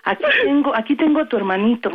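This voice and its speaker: A woman's voice: on the high side, expressive, fast talker, breathy edge, narrow, tinny audio. A woman playing a doting, soothing grandmother in a radio prank call. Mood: calm. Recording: loud music underneath.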